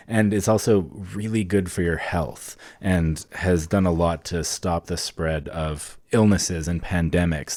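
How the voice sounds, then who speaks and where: A man's voice: low-pitched, a little mellow, no room sound. A man co-hosting a podcast, explaining history in a casual, conversational and enthusiastic way. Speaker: podcast co-host.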